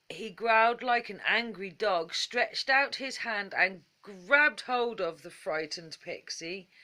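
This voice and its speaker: A woman reading a children's story aloud as a calm, gentle bedtime storyteller. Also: medium pitch. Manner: gentle, unhurried.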